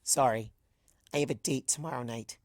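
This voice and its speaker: A woman's voice: a bass voice, moderate pace, a little mellow, no echo, studio quality. A woman voicing a hurt, bitter young female pony in a storybook reading, accusing and sarcastic with pointed rhetorical questions. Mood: cold, spiteful. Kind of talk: dramatised fan fiction reading.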